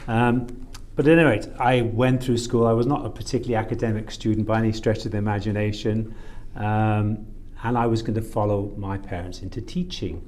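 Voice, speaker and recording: A man's voice: on the low side, level tone, a little fast, hardly any pauses, a little mellow. A man in his sixties from Wales, telling stories conversationally as a podcast guest, with courteous, old-fashioned phrasing. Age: 60s. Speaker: podcast guest, shipping consultant. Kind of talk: conversational storytelling.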